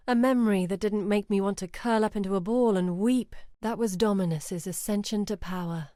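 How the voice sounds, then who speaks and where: A woman's voice: medium pitch, warm tone, dry recording, clean studio sound, lightly acted. An actress playing an earnest, brooding woman scholar in a video game, with a reflective, theatrical delivery. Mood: reflective, unsettled. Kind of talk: dramatic video game voice acting.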